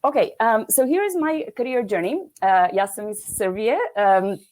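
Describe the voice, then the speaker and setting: A woman's voice: on the high side, animated, moderate pace, a little mellow. A woman from Serbia giving an online keynote on a video call, speaking with many hesitations and restarts.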